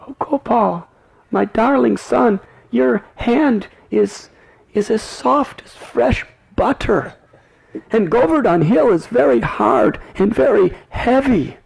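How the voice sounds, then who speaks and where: A man doing an anxious village mother whose voice climbs to a thin, high wail as she frets over her son, drawn out and slow. Her tone is rough and gravelly underneath, pleading and tearful throughout the devotional tale. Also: lively intonation, a little mellow, heavily acted, poor audio. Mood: tender. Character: anxious loving mother, middle-aged village woman. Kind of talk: devotional story telling.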